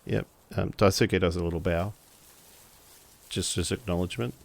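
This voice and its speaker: A man's voice: sits low, fairly even intonation, normal speed, vocal fry, darkish tone. A man playing a tabletop role-playing game, narrating casually and hesitantly as he pieces his sentences together.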